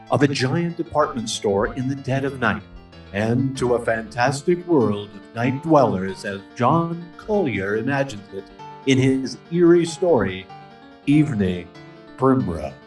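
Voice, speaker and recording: A man reads a scripted radio drama introduction as its announcer. He sounds a little distant in an echoey space, with faint music behind him. His phrasing is measured and dramatic. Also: medium pitch, animated.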